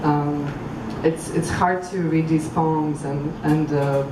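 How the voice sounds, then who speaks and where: Soft, low-voiced woman reading poems outdoors. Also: steady tone. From Croatia. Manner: halting, thoughtful spoken intros, measured reading. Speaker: poet reading at a public event. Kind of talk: public poetry reading. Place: outdoor public venue. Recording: echoey, far from mic, street noise.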